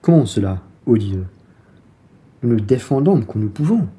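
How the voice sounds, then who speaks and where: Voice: mid-range voice, sing-song, moderate pace, warm tone, breathy edge. An actor playing a blunt, irritable, self-serving bourgeois traveller in a French radio play, with a brusque manner. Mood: impatient.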